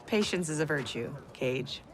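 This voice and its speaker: A woman's voice: sits low, animated, normal speed, slight background noise. An actress voicing a tough, hard-edged military mother with a dry manner in a video game cutscene. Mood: dry, focused.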